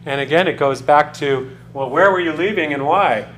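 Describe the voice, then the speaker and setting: Conversational male lecturer, slightly high voice. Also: moderate pace, a bit bright, a little hiss or hum. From United States. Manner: conversational, poses questions to students, loose with fillers. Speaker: college lecturer. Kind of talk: classroom lecture. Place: classroom.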